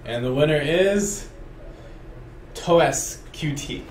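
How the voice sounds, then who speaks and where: A man's voice: on the high side, lively intonation, slow, echoey room, a little hiss or hum. A man hosting a game developer stream, reading out viewer questions and speaking straight to the audience in an upbeat way. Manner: upbeat, addresses viewers directly. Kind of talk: casual dev stream hosting.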